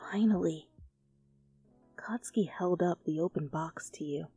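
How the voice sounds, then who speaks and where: A woman giving an expressive, theatrical amateur reading of fan fiction as narrator. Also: fairly low pitch, unhurried, breathy edge, dry recording, soft background music. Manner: animated, theatrical.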